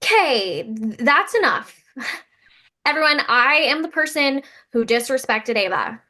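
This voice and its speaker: An earnest young woman teacher, played by an actress in a sitcom read. Her voice is high and bright, with an eager, flustered edge. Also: big pitch swings, unhurried, full character voice. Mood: earnest, apologetic.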